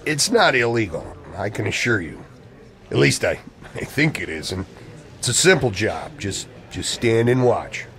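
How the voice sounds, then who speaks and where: A man's voice: lowish voice, sing-song, a little slow, fairly bright tone, full character voice. An actor playing an edgy, secretive man in radio play dialogue, coy and evasive with an old friend. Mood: secretive, hesitant. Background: music.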